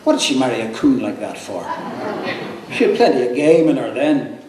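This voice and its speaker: A man's voice: medium pitch, expressive, moderate pace, lightly acted. An Irish man voicing a snobbish, inquisitive male mourner gossiping with disdain in a live reading of a novel. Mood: disdainful. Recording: distant mic in echoey room, faint noise.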